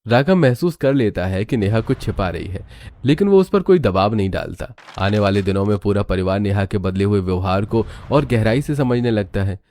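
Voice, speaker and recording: A man's voice: lowish voice, normal speed, a little mellow. A man giving scripted storybook narration for a family cartoon.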